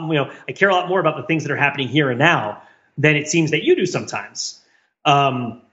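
A man's voice: mid-range voice, muffled recording. A man co-hosting a podcast in casual discussion, talking in a rambling, wry way and often questioning his own points. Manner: rambling, self-questioning, wryly animated argument. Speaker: podcast co-host.

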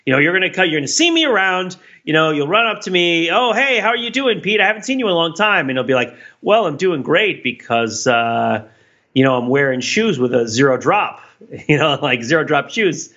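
Animated, bright-voiced man, slightly dull recording. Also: a little high, fairly quick. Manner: animated, emphatic, analytical. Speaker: podcast co-host. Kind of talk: casual analytical discussion. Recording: slightly dull lo-fi microphone sound.

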